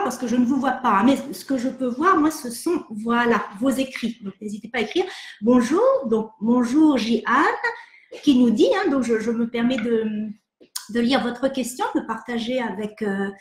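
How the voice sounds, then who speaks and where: A woman psychologist from France hosting an online session, answering viewer questions in a hesitant, gentle way with many fillers and restarts. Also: fairly high pitch, roomy sound. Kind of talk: live webinar, reading and answering viewer questions.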